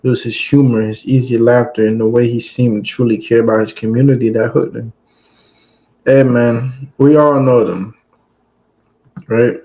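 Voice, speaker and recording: A man's voice: mid-range voice, flat delivery, normal speed, dark tone, low-quality recording. Laid-back man joking with his chat. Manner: loose, joking asides to chat. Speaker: streamer reading stories aloud. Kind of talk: casual commentary between read passages.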